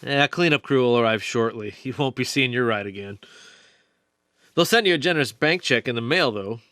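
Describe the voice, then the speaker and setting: A man playing an excited, nerdy investigator in a radio play, explaining theories earnestly with halting stammers. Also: mid-range voice, no echo, studio quality, close to an everyday voice. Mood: relaxed, friendly.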